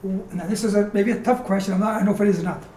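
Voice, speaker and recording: A community TV host speaks quickly and in a friendly way, asking questions and thanking the guest. The voice sits in the middle of the range, and the room adds a clear echo. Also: medium pitch, steady tone, warm tone, slight background noise. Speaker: talk show host. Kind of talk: community TV interview. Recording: echoey room.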